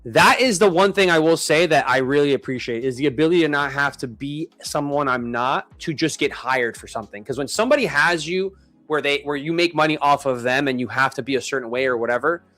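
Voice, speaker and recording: A man's voice: on the high side, fairly quick, a bit bright, faint music behind. A man of thirty-nine chatting casually as a live stream host, with informal, slangy bro-talk. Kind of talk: casual chat.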